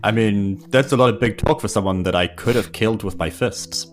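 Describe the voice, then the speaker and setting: A man's voice: lowish voice, a little fast, over music. A man with a New Zealand accent playing a tabletop game, improvising sardonic banter and questions in character.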